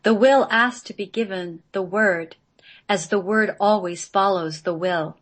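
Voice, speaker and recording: A woman reading radio play narration in a measured, solemn manner. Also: medium pitch, a bit bright. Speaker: guest, playwright reading own play.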